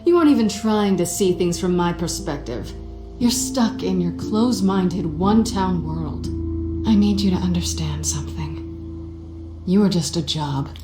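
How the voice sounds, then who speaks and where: A woman's voice: lowish voice, animated, unhurried. A woman voicing a sly, flirtatious, cynical cat-burglar antiheroine in a video game, with a teasing, sardonic manner. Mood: cold, dismissive. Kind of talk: cinematic video game voice acting. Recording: game soundtrack music behind, roomy reverb. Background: background music.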